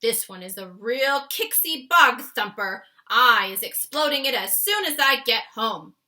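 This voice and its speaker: A woman's take on an excitable, exclamatory storybook giant. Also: a little high, unhurried, full character voice. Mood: distressed, dire warning. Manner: quaint muddled grammar with invented nonsense words; exclamatory, emphatic delivery. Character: excitable big friendly giant, male, kindly, easily distressed. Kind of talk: children's storybook character voice.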